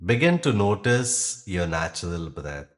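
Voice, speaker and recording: Man, meditation guide, deep low voice, very slow pace, calm, gentle, spacious pauses. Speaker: yoga nidra meditation guide.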